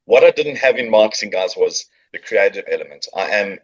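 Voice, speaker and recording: A man's voice: lowish voice, animated, a little fast, muffled recording. A middle-aged man with a South African accent, chatting as a podcast guest and telling his story with jokes and fillers. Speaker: podcast guest, business owner. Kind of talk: casual interview chat. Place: coworking space.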